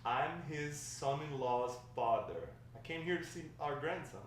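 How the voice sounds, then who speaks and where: A man's voice: fairly high pitch, a little slow, natural voice. Man playing brash grandpa in an echoey room. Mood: brash, cheeky. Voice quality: slangy, swaggering talk. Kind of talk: amateur screenplay table read. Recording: echoey room, distant mic, faint noise.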